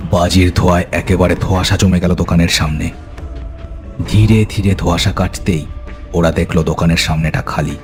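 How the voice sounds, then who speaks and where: Deep-voiced man narrating a horror story. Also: a little mellow. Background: music.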